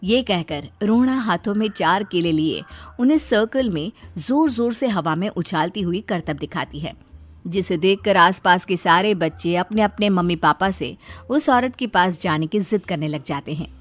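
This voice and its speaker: A woman's voice: lowish voice, animated, normal speed, faint music behind. A woman narrator reading a scripted children's story in a storytelling delivery.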